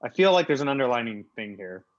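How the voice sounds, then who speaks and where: A man's voice: medium pitch, big pitch swings, fast talker, pitched below the performer's own voice, close to an everyday voice. A man playing a timid henchman who is nervous, hesitant and placating, in an improvised comedy radio play. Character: timid, apologetic male henchman reasoning with boss. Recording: dull lo-fi audio.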